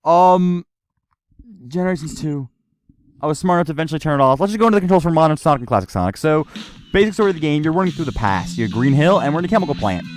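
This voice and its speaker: A man from the United States reviewing a video game in casual, rambling, halting, sweary unscripted commentary. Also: fairly high pitch, lively intonation, no room sound. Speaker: gaming reviewer. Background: game music.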